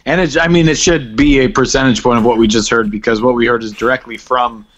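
A man's voice: medium pitch, steady tone, fast talker, a bit bright, highs cut off. A man co-hosting a comedy podcast with joking, conversational banter. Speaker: podcast co-host.

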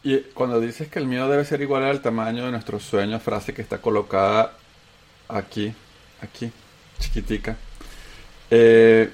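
A Colombian-born man with a Venezuelan accent, hosting a casual talk show and telling his story with animated emphasis. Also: medium pitch, measured pace, pauses often. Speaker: host. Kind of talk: casual chat.

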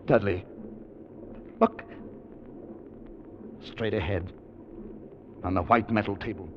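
An actor in an old radio drama playing a tense, haunted adventurer telling of his expedition, dramatic and at times halting. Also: fairly low pitch, noisy background. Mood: nervous, hushed. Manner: dramatic, hesitant and halting in dialogue. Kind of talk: 1940s-50s radio drama narration and acting. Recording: dull old radio recording.

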